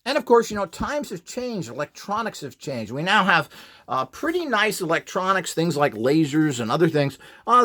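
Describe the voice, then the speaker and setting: A man's voice: a little high, fairly bright tone. A man giving an informal instructional monologue in a blunt, opinionated, emphatic and rambling way. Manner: opinionated, blunt, scornful of rivals. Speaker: presenter selling upgrade equipment.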